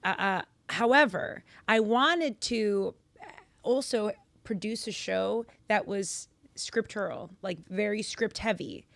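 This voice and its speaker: A young woman from Massachusetts, a podcast guest telling her story in casual chat, animated and louder for emphasis, on a studio boom mic. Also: takes it slowly, gravelly, no room sound. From Massachusetts, United States. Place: podcast studio with purple lighting.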